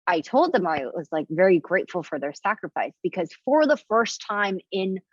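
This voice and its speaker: A woman in her thirties from Indonesia talks through her thoughts with a reflective, searching manner, dotting her sentences with fillers and restarts. Her voice sits a little low, recorded dry and close with a dull, lo-fi sound. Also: big pitch swings, moderate pace, husky, dry recording. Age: 30s. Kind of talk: casual conversation.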